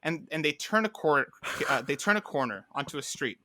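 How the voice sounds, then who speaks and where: A man co-hosting a podcast in casual banter, telling things like an animated storyteller and often checking in with "right?". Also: a little high, brisk, dry recording. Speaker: podcast co-host.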